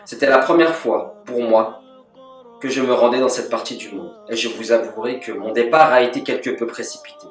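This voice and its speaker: A man giving a calm, measured religious lesson as a scripted voice-over, with Arabic devotional formulas woven into his speech. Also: mid-range voice, faint music behind. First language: French. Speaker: religious teacher. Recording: echoey, from a distance.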